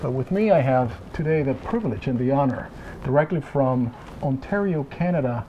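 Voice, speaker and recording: A man's voice: medium pitch, expressive, moderate pace, dull audio, slight background noise. A middle-aged man hosting an interview at a conference, chatty and effusive, often restarting his sentences. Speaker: interview host. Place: conference room, indoors.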